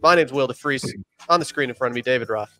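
A man's voice: mid-range voice, fast talker, sharp tone, no room sound, faint music behind. Dry-sounding young man hosting from home. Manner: laid-back, repeats words when starting a thought. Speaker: podcast host. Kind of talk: casual chat. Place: home room, indoors.

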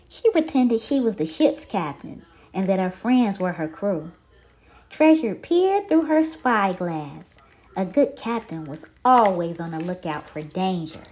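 High, swooping woman storyteller for children. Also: a little mellow, slightly rough. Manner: theatrical, drawn-out emphasis addressed to little children. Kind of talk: expressive read-aloud of a picture storybook for young children.

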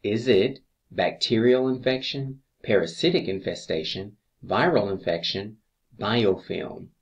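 Male instructor for exam review narration: fairly deep voice, very unhurried, measured reading of questions and options. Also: dull audio. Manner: measured, deliberate reading with pauses between answer choices.

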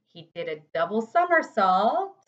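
A woman's voice: mid-range voice, very animated, a little slow, fairly bright tone. A woman in her thirties with an American accent, reading a children's storybook aloud expressively. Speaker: city council member reading to children. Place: home office, indoors. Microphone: computer microphone at desk distance. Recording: slightly dull webcam audio.

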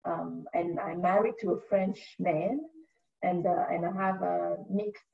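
Measured woman from Cameroon, distant and muffled over a video call. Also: fairly low pitch, fairly even intonation, gravelly. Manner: measured, hesitant with fillers. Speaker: diversity and inclusion professional. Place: indoor room with bookshelf. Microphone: webcam on video call.

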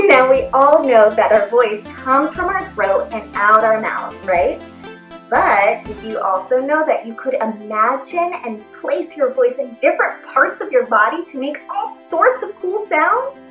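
A woman in her thirties giving an encouraging, animated lesson for children as a theatre performer. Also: sits high, unhurried. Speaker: theatre performer teaching character voices. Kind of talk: instructional video for children. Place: small indoor room. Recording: echoey room, faint music.

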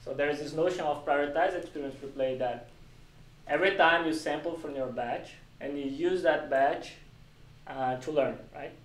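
A man's voice: fairly high pitch, moderate pace. A man informally explaining technical material to a small group as a meetup presenter. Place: small glass-walled meeting room. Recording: echoey meeting room, distant mic, faint noise.

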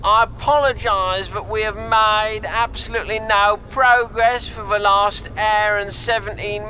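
A man voicing a London Underground train driver making deadpan, apologetic announcements over background noise. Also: sits high, voice pushed up, heavily acted, rough recording. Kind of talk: comic announcement in a voice reel.

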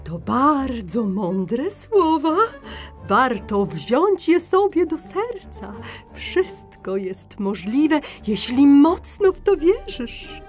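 A kindly parrot schoolteacher in a children's audio story. Her voice is extremely high and very animated, and she takes her time as she encourages her pupils. Music plays behind her. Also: extremely slow, full character voice. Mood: encouraging. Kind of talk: children's audio play acting.

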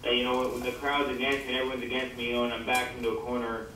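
A man's voice: mid-range voice, completely flat delivery, very fast. A man casually answering press questions on a conference call through a speakerphone. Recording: very echoey speakerphone call, distant, faint noise.

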